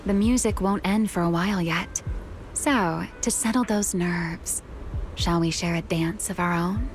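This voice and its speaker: A woman's voice: fairly low pitch, unhurried, a little hiss or hum, close to an everyday voice. A woman playing a composed, teasing young woman fighter with a hidden past, in theatrical video game acting. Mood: teasing calm. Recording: music under the voice.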